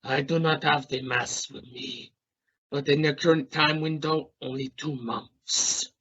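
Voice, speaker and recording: A man's voice: fairly high pitch, fairly even intonation, fairly bright tone. Dull-sounding, brusque scientist, acted by a man. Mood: formal, courteous. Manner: formal, measured, slightly halting phrasing. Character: brusque male Russian space scientist and mission captain. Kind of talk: scripted radio play interview. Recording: dull lo-fi recording.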